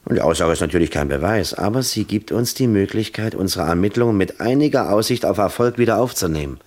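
A seasoned police lieutenant for a vintage radio play. He speaks low and bluntly, with the sardonic weariness of a cop who has heard it all. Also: animated, fast talker, a little mellow. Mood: businesslike. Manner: world-weary, sardonic. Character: jaded, blunt police lieutenant, twelve years in service. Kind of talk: German radio play acting.

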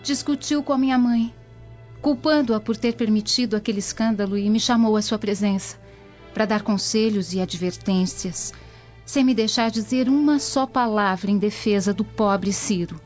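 Tender young Roman noblewoman played by an actress, mid-pitched, earnest, devout, radio drama with faint music. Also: normal speed, a little mellow, natural voice. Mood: sorrowful. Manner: earnest, formal storytelling. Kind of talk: dramatic radio soap acting. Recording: dull lo-fi, music behind.

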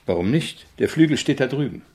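An actor playing a polite, exacting avant-garde composer in a radio drama, speaking in a measured, questioning, instructive manner with a husky voice. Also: male voice, medium pitch, animated, a little mellow. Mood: polite, hesitant. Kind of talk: German radio drama acting.

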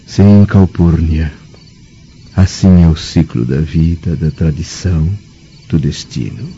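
An actor playing a grave, nostalgic Roman senator in a formal, solemn radio drama style. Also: male voice, extremely low pitch, slow, dark tone, breathy edge, soft background music, full character voice. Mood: wistful, solemn. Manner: solemn, declamatory. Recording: muffled, dull radio drama recording.

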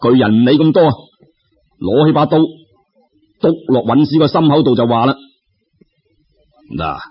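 Husky male Cantonese storyteller, slightly muffled. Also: medium pitch, normal speed. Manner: colloquial, dramatic, with exclamations and sound words. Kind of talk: animated folk-tale narration. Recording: slightly dull, lo-fi audio.

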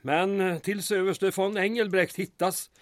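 An actor playing a courteous, beleaguered small-town mayor in a radio drama, with a formal, wordy and drily ironic manner. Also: male voice, high voice, steady tone, no room sound, close to an everyday voice. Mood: worried, reasoning.

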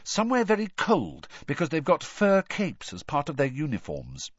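A man's voice: mid-range voice, wildly swooping pitch, normal speed, a bit bright, slightly rough, dry recording, lo-fi sound, voice pushed up, full character voice. A man voicing an earnest teenage schoolgirl in an audiobook, sniffy and matter-of-fact as she lectures. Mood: earnest, explaining. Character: earnest, know-it-all teenage schoolgirl, quick to indignation.

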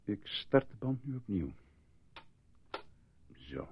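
A man's voice: lowish voice, sing-song, slow pace, a little hiss or hum, full character voice. A man acting in a radio drama as a stern, official interrogator who insistently corrects a witness. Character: stern male interrogator taping a shaken witness. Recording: dull phone-like band, dry.

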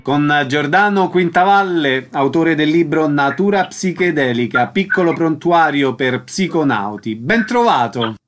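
Man, radio interviewer, slightly high voice, prepared delivery. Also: animated, measured pace, few pauses, soft background music. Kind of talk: scripted interview questions and announcements.

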